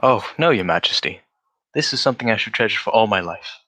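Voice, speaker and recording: A man acting a cerebral, restrained gentleman detective in a radio drama, speaking formally and courteously. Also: lowish voice, expressive, fast, no echo. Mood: courteous, wistful. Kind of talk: adaptation of a 1940s radio drama.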